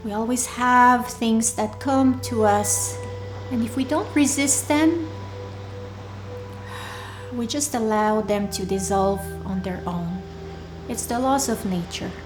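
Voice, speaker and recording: A woman leading a guided meditation in a soothing, deliberate way, leaving pauses between short phrases. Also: on the high side. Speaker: meditation guide, workshop leader. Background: soft background music.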